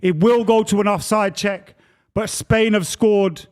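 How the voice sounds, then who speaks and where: A man's voice: high-pitched, steady tone, measured pace, a little mellow, clear, resonant tone. A man co-hosting a football watch-along, calling the play like a commentator between casual chat. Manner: mock commentator calls of the action.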